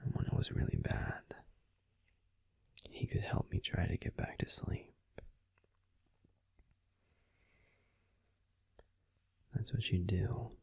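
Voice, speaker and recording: A man playing a grumpy but tender werewolf boyfriend in an intimate, slow, soothing whispered sleep-aid roleplay. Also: low voice, darkish tone, heavily acted. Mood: quiet, reflective. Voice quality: breathy, husky whisper.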